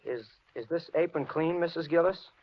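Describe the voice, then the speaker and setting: A man's voice: on the high side, moderate pace, close to an everyday voice. An actor playing a meek, uneasy young handyman, hesitant and polite, in 1950s radio suspense. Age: young adult. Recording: dull, noisy 1950s radio recording.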